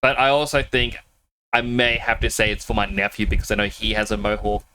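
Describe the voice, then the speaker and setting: A man's voice: fairly low pitch, fairly even intonation, a little fast, bright, forceful tone, a little hiss or hum. A man streaming a video game, chatting casually with viewers, laughing often and a little sniffly with a cold. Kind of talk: casual live-stream chat.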